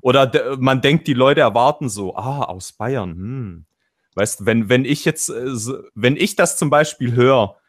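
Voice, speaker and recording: A man's voice: medium pitch, unhurried. Man, Swabian accent, casual panel chat, video call, rambling, restarts sentences. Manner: rambling, self-interrupting, acts out quotes. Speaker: panel guest.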